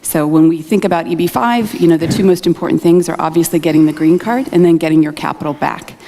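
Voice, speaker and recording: A woman presents her company on a conference panel, fluent and businesslike. Her voice sits low and mellow. It echoes through a large hall. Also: fairly even intonation, fast, slight background noise. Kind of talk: panel self-introduction. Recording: hall reverb from a conference stage.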